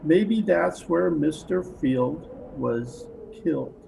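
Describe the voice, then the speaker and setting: A man's voice: on the high side, slow, vocal fry, full character voice. A man playing a polite police detective who questions with hesitant, feigned casualness in an old-time radio mystery. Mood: probing, suspicious. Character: polite but probing male police detective, casually suspicious. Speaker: actor in an online radio play performance. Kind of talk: 1940s radio mystery acting. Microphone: video call. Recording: noisy home video-call recording.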